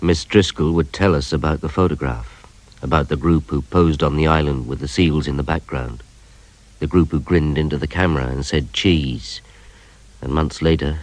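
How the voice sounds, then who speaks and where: A man's voice: extremely low pitch, normal speed, dry recording. An actor playing a plain-spoken, sceptical male detective with a British accent who also narrates a radio crime drama. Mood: ominous.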